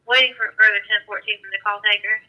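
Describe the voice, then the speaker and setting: A man's voice: high-pitched, level tone, quick pace. A man working as a police dispatcher, speaking in a clipped, procedural way over a lo-fi scanner radio.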